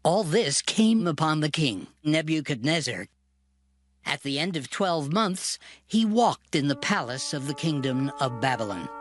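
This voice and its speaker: A man's voice: fairly high pitch, big pitch swings, a little slow. A man narrating a dramatized scripture reading as a solemn storyteller. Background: music.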